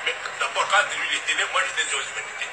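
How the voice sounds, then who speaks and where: A man's voice: fairly high pitch, animated, racing. A man in his sixties from Congo, insisting on his point and repeating himself. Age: 60s. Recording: noisy, echoey clip from far off.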